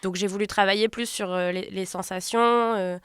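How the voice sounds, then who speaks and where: Smooth-voiced, reflective woman director. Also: mid-range voice, steady tone, no room sound, clean studio sound. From Turkey. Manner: reflective, halting, pauses to find words. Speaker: film director. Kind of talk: interview about her film.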